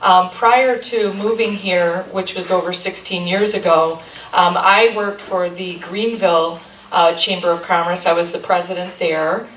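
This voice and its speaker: A woman's voice: on the low side, fairly even intonation, measured pace, fairly bright tone, distant mic, a little hiss or hum. A middle-aged woman from Michigan, a chamber of commerce president giving a friendly, rambling talk to a local group, with many ums. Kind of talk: informal presentation to a local group. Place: meeting room. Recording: echoey room recorded from afar.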